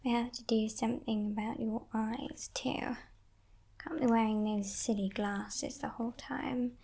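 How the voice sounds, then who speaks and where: A woman voicing a playful, menacing female demon in a breathy, teasing whisper for an ASMR roleplay. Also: a little high, steady tone, full character voice. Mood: breezy, condescending. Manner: teasing, condescending, softly sinister, unhurried.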